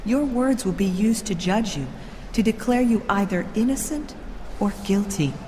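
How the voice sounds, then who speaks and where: A woman playing a serene, solemn royal ruler of a heavenly city in an audio drama, speaking in a measured, formal, instructive way. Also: mid-range voice, mellow, slight background noise, natural voice. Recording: long hall reverb with music behind.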